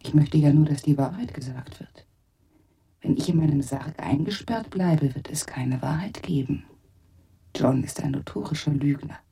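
A woman's voice: low voice, normal speed, creaky, dark tone, breathy edge, distant mic, full character voice. An actress voicing a possessive, self-righteous female ventriloquist's doll in a radio crime drama, with a whispery, insistent delivery. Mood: insistent, accusing.

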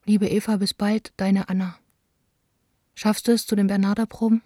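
Actress as young woman director reading her letters, mellow n hushed, dry close sound, radio play. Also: medium pitch, level tone, normal speed, no room sound, natural voice. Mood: pensive. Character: Anna, young woman stage director writing intimate letters.

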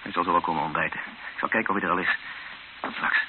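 A tense, sober male captive played by an actor speaks low and earnestly, as the witness at the centre of a radio play. The sound is thin and tinny, like an old broadcast, with clear noise. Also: fairly even intonation, fast, close to an everyday voice. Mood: urgent. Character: tense captive Dutchman, a witness recounting his ordeal. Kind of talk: first-person radio drama narration and dialogue.

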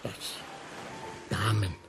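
An actor playing a pompous, boastful old French gentleman in a radio play, courtly and self-important. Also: extremely slow, far from the mic, over music. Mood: pompous, indignant. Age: older.

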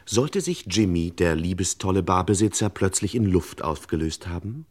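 A man's voice: low voice, animated, moderate pace, darkish tone. A man playing the wry, ironic narrator of a radio crime comedy serial, with a mock-pompous delivery. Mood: wryly ironic.

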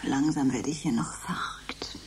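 A woman's voice: extremely low pitch, unhurried, in a whisper. A woman playing an anxious, easily panicked character in a radio drama, fretful and dramatic. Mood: frightened.

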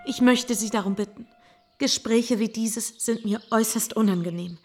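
An actress in a German radio play playing a shy, earnest young gentlewoman with a formal, halting, pleading manner. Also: a little high, soft background music. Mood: distressed, pleading.

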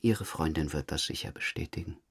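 An actor playing a calmly probing police detective in a radio drama interrogation, measured and insistent. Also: male voice, extremely low pitch, creaky, breathy edge, full character voice.

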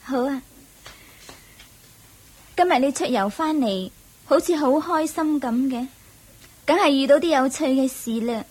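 A tender high-voiced Cantonese princess. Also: female voice, a little slow, pauses often, a little mellow. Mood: affectionate, happy. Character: tender, devoted young princess, wife and mother. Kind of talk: radio drama acting.

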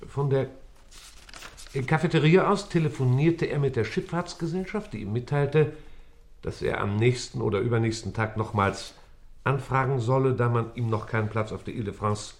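A man's voice: mid-range voice, moderate pace, close to an everyday voice. A man playing a dry, dismissive, matter-of-fact questioner in a radio play.

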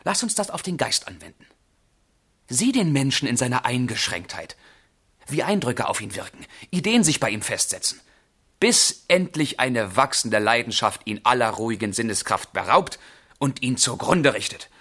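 A man's voice: mid-range voice, sing-song, moderate pace, a bit bright, breathy edge, no room sound, clean studio sound, heavily acted. An actor playing a passionate, brooding young man of the 1770s in a literary radio drama, with fervent, declamatory delivery. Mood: fervent, arguing. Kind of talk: literary radio drama, dialogue and letter monologue.

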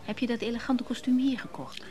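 A woman's voice: a little high, steady tone, brisk, crowd noise, lightly acted. An actress playing a smitten, forward married woman, coaxing and breezy, in a radio drama. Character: smitten, forward, well-heeled married woman pursuing an older man.

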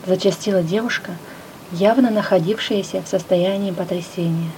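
A woman narrating a first-person story with a lively, expressive reading. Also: fairly low pitch, moderate pace, a little hiss or hum, low-quality recording. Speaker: audiobook narrator.

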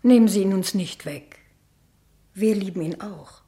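A woman playing a melancholy spinster in a vintage radio drama. Her voice is soft-edged and dark, and she speaks in short, hesitant phrases with a wary reserve. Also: mid-range voice, measured pace, natural voice. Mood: wistful, hesitant. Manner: hesitant, terse, subdued. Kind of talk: 1960s German radio play acting.

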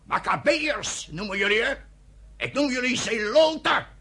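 An actor in a Dutch radio play voicing a stern, troubled elder brother who speaks gravely and with strong emotion. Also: male voice, extremely high pitch, sing-song, measured pace, bright, forceful tone, exaggerated voice. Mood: bitter anger.

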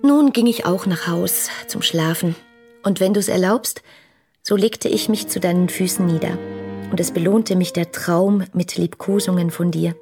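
A woman's voice: fairly low pitch, darkish tone. An actress playing a passionate, dreamy young woman in a German radio play, reading her letters in a lyrical, intimate, fervent manner.

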